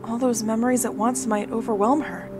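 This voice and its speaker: A woman plays a cautious, protective goddess sister in a fan audio drama. Her slightly high voice is hesitant and careful, and loud music plays behind her. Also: steady tone, fairly quick, natural voice.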